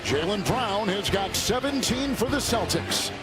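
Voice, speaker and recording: A man's voice: high-pitched, animated, unhurried, poor audio. A man giving live television play-by-play commentary on a basketball game. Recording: loud music and arena noise behind. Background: basketball arena sounds.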